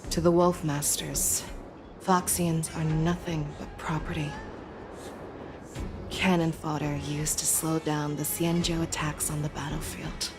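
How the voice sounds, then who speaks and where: Steady female general for a game, deep register, composed authority, music underneath. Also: a little slow. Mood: grave. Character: steady, commanding female fox-folk general. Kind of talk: dramatic video game acting.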